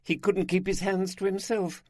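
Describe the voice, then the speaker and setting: A man's voice: high-pitched, flat delivery, moderate pace, darkish tone, dry recording, voice pushed up, natural voice. Man voicing Home Counties woman, mid-forties, refined, polite, dry close sound. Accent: English Home Counties. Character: stylish woman in her mid-forties, a designer.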